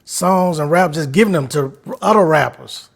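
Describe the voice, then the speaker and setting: A man hosting a podcast interview, easygoing and quick to affirm his guest. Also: a little high, vocal fry, faint music behind. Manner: easygoing, with frequent affirmations and laughs. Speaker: podcast host.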